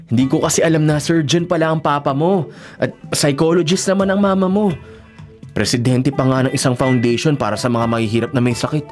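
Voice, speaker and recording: A man plays a naive young man in a radio drama, with a slightly high voice. He is polite and anxious, tending to stammer when startled. Music plays softly behind him. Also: natural voice. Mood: sheepish, apologetic. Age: young adult. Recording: music bed under the voice.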